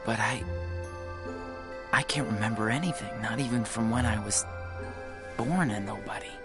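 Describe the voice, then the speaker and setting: A man's voice: fairly low pitch, moderate pace, loud music behind the voice, close to an everyday voice. An actor playing an earnest, eager teenage boy with lost memories in a video game, speaking plainly. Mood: wistful.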